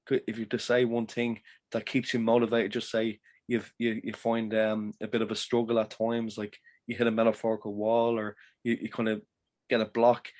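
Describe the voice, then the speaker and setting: A man's voice: lowish voice, completely flat delivery, moderate pace, a little mellow. A man with an Irish accent hosting a podcast interview, upbeat and encouraging, asking long, rambling questions. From Ireland. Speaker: podcast host. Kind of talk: casual interview.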